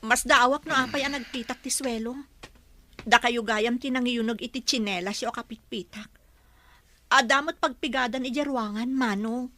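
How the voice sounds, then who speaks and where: Woman playing downtrodden young mother, Ilocano accent, radio drama, high-pitched, forceful bright tone, pleading. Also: steady tone, moderate pace. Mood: aggrieved, weary. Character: weary, pleading young mother working as household help.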